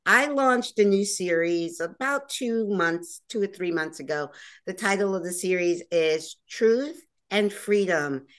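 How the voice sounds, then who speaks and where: A woman's voice: mid-range voice, lively intonation, unhurried. A woman hosting and producing her own talk show, chatting casually with many fillers and restarts. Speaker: host and producer. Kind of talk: casual interview chat.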